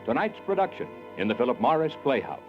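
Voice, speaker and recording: Old time radio announcer guy, lively and emphatic, kinda high voice, muffled recording. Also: quick pace, loud music behind the voice. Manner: polished, emphatic. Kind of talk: 1940s radio announcing and advertising. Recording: dull 1940s radio recording.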